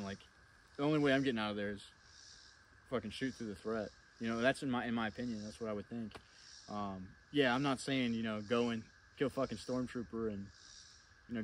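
A man's voice: fairly low pitch, a little hiss or hum, rough recording. A young man giving casual, blunt instructional talk to a camera outdoors, as a firearms instructor. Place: outdoors in a wooded clearing at a flat range.

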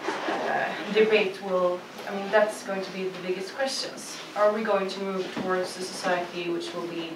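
A woman's voice: on the low side, fairly even intonation, normal speed, hardly any pauses. A woman with a Swedish accent, a panelist, speaking earnestly with many fillers. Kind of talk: panel discussion remarks. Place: seminar room with audience. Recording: distant, roomy, noisy.

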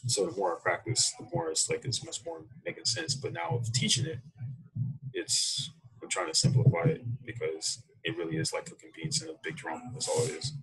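Very deep, gravelly American man. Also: a bit bright, a little hiss or hum, poor audio. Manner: halting, thoughtful, unhurried phrasing. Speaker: interview guest, coffee roaster. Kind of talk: reflective casual interview talk. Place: small room indoors, video call. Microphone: computer mic on a video call.

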